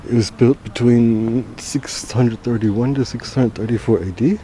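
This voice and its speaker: A man's voice: mid-range voice, mellow, slight background noise. A German man guiding viewers through an old church, hesitating with frequent ums. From Germany (Bamberg, Franconia). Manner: hesitant, many ums and pauses. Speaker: travel vlogger. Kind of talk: casual guided commentary. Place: inside a church.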